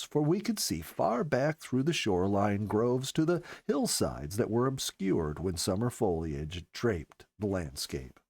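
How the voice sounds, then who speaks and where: Animated male storyteller with lively intonation. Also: lowish voice, dry recording. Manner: expressive, storyteller delivery. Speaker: storyteller, show regular. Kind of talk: scripted storybook narration.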